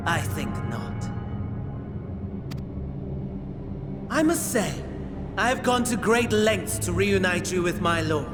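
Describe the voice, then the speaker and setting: An actor playing a gleeful, mockingly courteous villain in a video game, pompous and theatrical in delivery. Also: male voice, high-pitched, expressive, unhurried. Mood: mock hospitality. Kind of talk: dramatic video game cutscene acting. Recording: loud music behind the voice.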